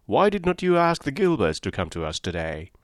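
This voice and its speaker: A man voicing a hearty, sociable country gentleman with a bluff manner. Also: medium pitch, huge pitch swings, a little fast, no echo, studio quality, pitched above the performer's own voice. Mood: jovial.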